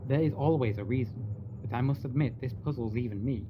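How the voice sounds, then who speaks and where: A man playing an earnest, loyal younger doctor in a gothic radio drama, with a measured manner. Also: mid-range voice, dull audio, natural voice. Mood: concerned. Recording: clearly noisy background.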